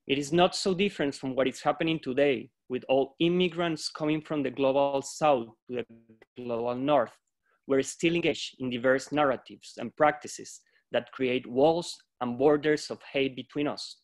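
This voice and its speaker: Man, from Colombia, yoga teacher, video call, measured, reflective, mid-pitched. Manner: reflective, measured, quotes philosophers. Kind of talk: prepared talk on a video panel.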